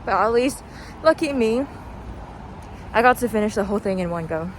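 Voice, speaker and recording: A chirpy, giggly woman in a casual, rambling solo chat, halting often and leaning on "like". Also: medium pitch, animated. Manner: giggly, chuckles often between phrases; halting, peppered with "like". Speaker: host of a personal walk-and-talk podcast. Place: outdoors, walking along a street. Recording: clear outdoor street and car noise. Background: passing cars, urban outdoor ambience.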